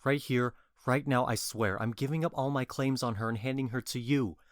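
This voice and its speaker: A man's voice: medium pitch, normal speed, no echo, studio quality, close to an everyday voice. A man playing a bewitched young lover in a modern-English Shakespeare audio drama, earnest and blunt in his declarations.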